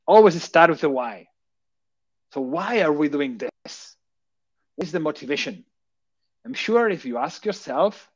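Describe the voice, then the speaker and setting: A man's voice: a little high, no room sound. Catalan-accented man presenting over a call. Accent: Catalan Spanish. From Barcelona, Catalonia. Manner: hesitant, many fillers, asks rhetorical questions. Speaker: conference speaker. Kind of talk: technical presentation. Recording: dull, dry lo-fi call audio.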